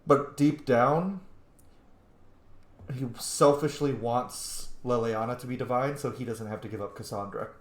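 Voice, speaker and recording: A man's voice: medium pitch, measured pace. Casual man thinking aloud in an echoey room. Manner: musing, informal, thinking aloud. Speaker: gaming host, roleplayer. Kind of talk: casual roleplay commentary over gameplay.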